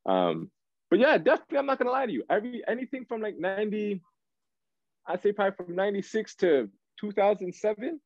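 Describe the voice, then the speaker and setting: A man, chatting casually as an interview guest in a halting, slangy way on a video call. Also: sits high, big pitch swings, darkish tone, no echo, dull audio. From Canada, raised in Toronto. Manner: halting, slangy, searching for words. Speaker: guest R&B singer. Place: small room, indoors. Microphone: video call, device at arm's length.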